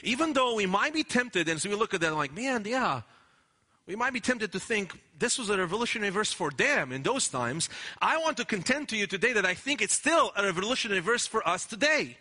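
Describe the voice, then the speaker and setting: A man's voice: sits high, big pitch swings, fairly quick, sharp tone. A man preaching a sermon in an earnest, emphatic way, often restarting his words. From Romania. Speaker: pastor.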